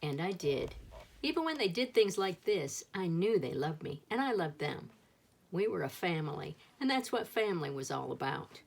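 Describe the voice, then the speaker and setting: A woman's voice: on the low side, sing-song, normal speed, creaky, breathy edge. A woman reading a children's picture book aloud as a storyteller, expressively acting out the dialogue.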